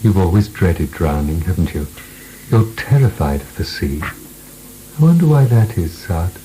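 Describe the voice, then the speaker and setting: Deep, dark-voiced phantom philosopher. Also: male voice, sing-song, a little hiss or hum, rough recording. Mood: calm, needling. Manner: measured, taunting, quietly insinuating. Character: phantom philosopher companion, calmly needling, probing, superior. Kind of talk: radio drama acting.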